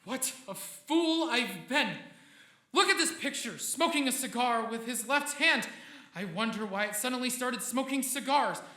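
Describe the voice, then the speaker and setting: An actor playing an obsessed, excitable and defensive scientist in a theatrical, agitated live radio drama. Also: medium pitch, a little slow. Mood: feverish excitement. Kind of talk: live 1940s-style radio drama acting. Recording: roomy live stage sound, faint music behind.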